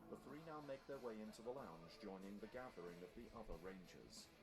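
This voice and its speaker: A man's voice: fairly low pitch, animated, fairly quick, low-quality recording. A man reading scripted narration for an audio drama as its storyteller.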